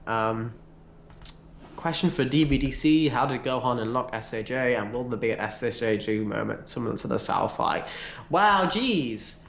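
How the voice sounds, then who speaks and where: A man co-hosting a casual livestream, answering viewer questions with animated, laughing asides. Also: mid-range voice, normal speed, roomy sound, highs cut off, slight background noise.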